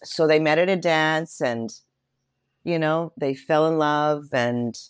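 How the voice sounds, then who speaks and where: Low-voiced woman telling personal stories. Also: slow pace, clean tone, no room sound, clean studio sound. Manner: expressive, emphatic repetition. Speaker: interview guest. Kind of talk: personal storytelling in conversation.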